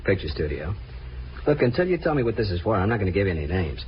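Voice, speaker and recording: An actor playing a cagey, irritable out-of-work performer under police questioning, brusque and guarded, in 1950s radio drama style. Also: male voice, fairly low pitch, very animated, quick pace. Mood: defensive, irritable. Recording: muffled, hissy old radio recording.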